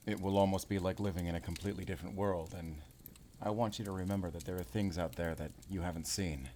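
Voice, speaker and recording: Man playing a deep-voiced werewolf hunter. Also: fairly even intonation, fast, mellow, a little hiss or hum, lightly acted. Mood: tender, wistful. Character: seasoned male werewolf hunter, commanding yet tender. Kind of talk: cinematic audio roleplay acting.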